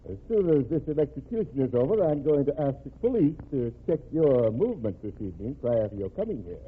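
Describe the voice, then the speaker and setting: An actor playing a stern, dignified governor in an old-time radio crime drama, speaking formally and with measured authority. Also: male voice, medium pitch, big pitch swings, slight background noise, lightly acted. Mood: stern, suspicious. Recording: tinny band-limited old radio recording.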